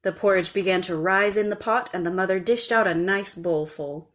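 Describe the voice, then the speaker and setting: A woman storyteller reading a scripted children's tale in a measured, expressive way. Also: lowish voice.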